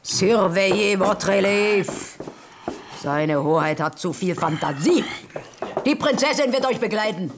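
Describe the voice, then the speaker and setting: A woman's voice: mid-range voice, expressive, a little slow, noisy background, close to an everyday voice. An actress playing a proud, aloof queen with a cool, ironic manner in a radio play. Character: haughty proud queen, middle-aged woman. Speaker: Queen Sophie Dorothea. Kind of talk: 1970s radio drama acting.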